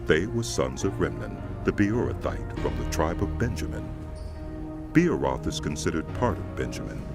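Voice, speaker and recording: A man's voice: extremely low pitch, vocal fry. A man narrating a dramatized scripture as scripted storytelling.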